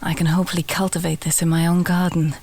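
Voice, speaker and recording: A woman playing a friendly, teasing village alchemist in a fantasy audio roleplay, talking gently and conversationally to the listener. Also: lowish voice, flat delivery, quick pace, slight background noise, natural voice. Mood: delighted, instructive. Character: friendly female village alchemist, warm, teasing, earthy, encouraging.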